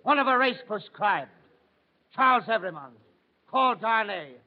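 An actor playing a zealous revolutionary prosecutor who declaims formally, in old radio drama style. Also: male voice, high voice, lively intonation, moderate pace. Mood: denouncing. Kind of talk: 1940s radio drama acting. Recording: muffled, noisy old radio recording.

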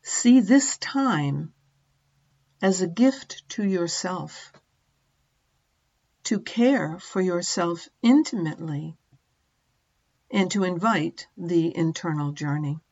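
A middle-aged woman narrating a guided meditation as a teacher, gently and in short measured phrases with long pauses. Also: lowish voice, lively intonation, muffled recording.

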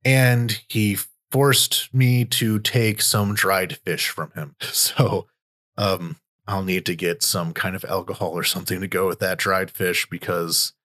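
Podcast host, male, lowish voice, relaxed commentary that restarts mid-sentence. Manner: loose, thinking aloud with frequent restarts. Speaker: podcast host and war reporter. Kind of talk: conversational news commentary.

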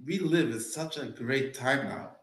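A man, a real estate agent guest, speaking in blunt, short emphatic phrases with halting English. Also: mid-range voice, echoey room. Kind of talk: casual interview chat.

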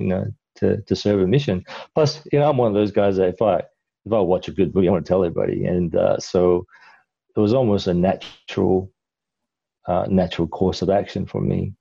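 A man's voice: deep voice, vocal fry, dark tone, dull audio. A middle-aged man from Malaysia, a guest in a casual interview, telling his story in a rambling way with lots of fillers and restarts.

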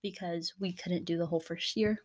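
A young woman co-hosting a casual podcast, with a voice she calls deeper than people expect, bubbly and rambling. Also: fairly even intonation, fairly quick. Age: young adult. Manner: bubbly, loud laughs, rambling asides. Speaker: podcast co-host. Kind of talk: casual chat.

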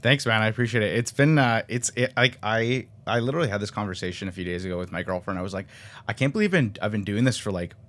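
A man in his twenties from Canada, a guest on a podcast, talking in a quick, chatty way with lots of fillers. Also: fairly low pitch, fairly bright tone. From Canada, raised near Toronto; born in Colombia. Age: 20s. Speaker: podcast guest, sports cinematographer. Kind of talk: casual interview chat.

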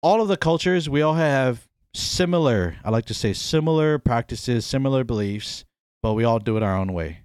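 A relaxed man from Hawaii talks through ideas in an easygoing, meandering way on a podcast. His voice sits at an ordinary pitch. It is recorded close and dry, with no room sound. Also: normal speed, clean tone, dry recording. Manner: relaxed, rambling, often says "you know what I mean". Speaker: podcast host. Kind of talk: casual podcast chat.

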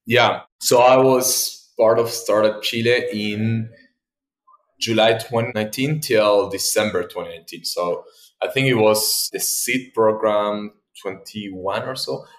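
A man's voice: fairly low pitch, unhurried. This is a man, a Spanish speaker. He talks casually, like a guest telling a story in an interview. The sound is noticeably echoey, as if recorded in a bare room. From Argentina. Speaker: podcast guest, tech entrepreneur.

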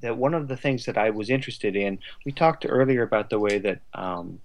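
A man with a fairly low voice speaks as a guest writer in a conversational interview. He thinks aloud, often halting midway through a thought, and takes his time wandering through examples. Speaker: guest writer of a radio drama.

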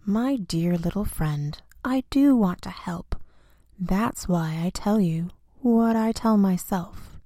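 A woman voicing a calm, wise old male tree in a children's storybook, speaking low and soothing. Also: slow pace, no room sound. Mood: gentle.

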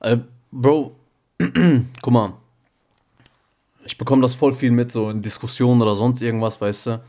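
A man's voice: mid-range voice, fairly even intonation, a little slow, warm tone. Young man talking slangy and earnest. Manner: slangy, keeps calling the other man bro and checking he follows. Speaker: podcast co-host. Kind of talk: casual podcast chat.

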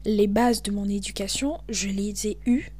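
A young woman from Cameroon with a Cameroonian French accent hosts a podcast, talking in an animated, emphatic way. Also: medium pitch, measured pace. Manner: animated, emphatic, switches into English. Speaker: podcast host. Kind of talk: casual monologue.

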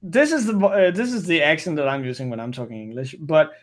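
A young man speaks English with a Danish accent in casual, easygoing conversation. His voice sits high for a man. He laughs often and stumbles into repeated false starts. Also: expressive, fast. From Denmark. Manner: laughs often, stammering restarts.

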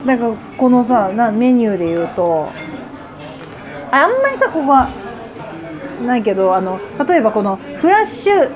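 Woman podcaster just chatting, kinda high expressive voice, pitch all over, busy restaurant noise behind her. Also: normal speed, pauses often. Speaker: podcast host. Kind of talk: casual chat. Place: restaurant, recorded while eating. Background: crowd chatter.

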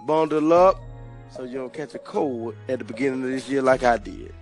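Gravelly, hoarse man in his fifties. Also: medium pitch, a little fast, faint music behind. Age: 50s. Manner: laid-back, laughs often. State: hoarse from a cold. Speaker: podcast co-host. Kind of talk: casual podcast banter.

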